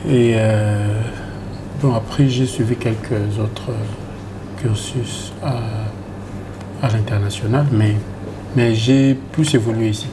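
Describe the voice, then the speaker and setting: A Congolese man speaks in a fairly low voice as a government reform coordinator. He answers formally, restarting phrases and filling pauses, while clear background noise sits under the recording. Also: fairly even intonation. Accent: Congolese French. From Democratic Republic of the Congo. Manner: formal, halting with many fillers and restarts. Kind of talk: interview answers.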